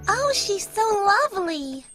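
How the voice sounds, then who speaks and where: A woman voicing a sweet, curious, helpful little girl in an animated children's story, with an inquisitive, sympathetic manner. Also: very high voice, unhurried, faint music behind, heavily acted. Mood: wondering.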